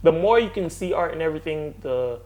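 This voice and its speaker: A man with a Haitian-influenced accent, a tattoo artist talking casually as an interview guest, relaxed, with street slang and easy laughs. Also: a little high, a little fast, rough recording. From second-generation Haitian family. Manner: laid-back street slang, laughs easily.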